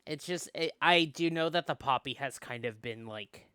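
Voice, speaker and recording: A bright, forceful voice with a smooth, clear tone, recorded close and dry. The speaker co-hosts a read-along, slipping between animated, rambling chat and dramatic narration of a visual novel. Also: medium pitch, moderate pace, dry recording. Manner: animated, rambling, self-interrupting. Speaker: co-host reading a visual novel aloud. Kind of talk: casual chat mixed with dramatic read-aloud.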